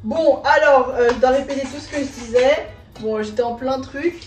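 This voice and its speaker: A woman's voice: high voice, moderate pace, faint music behind. A woman in her twenties from France chatting casually while she cooks, rambling with lots of fillers. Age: 20s. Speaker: guest cook. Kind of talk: casual cooking vlog. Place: home kitchen.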